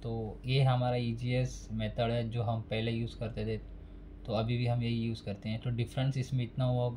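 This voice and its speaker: A man's voice: medium pitch, level tone, moderate pace, mellow, a little hiss or hum. A man giving a casual step-by-step phone repair tutorial, speaking plainly and repeating each step while working at a desk. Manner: plain, repetitive, instructional.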